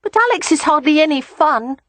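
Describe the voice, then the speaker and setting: A woman performs an eager four-year-old boy for an audiobook. The voice sits far above an adult register and moves in lively swoops. He is earnest and stubborn, coaxing one moment and complaining the next. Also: high voice, brisk, no room sound, pitched above the performer's own voice. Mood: plaintive. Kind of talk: audiobook character voice.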